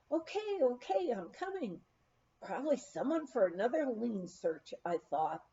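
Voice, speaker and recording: A woman reading a family memoir aloud in a gentle storytelling way, voicing family members' lines in dialogue. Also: a little high, highs cut off. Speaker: reader of a memoir. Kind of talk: audiobook-style memoir reading.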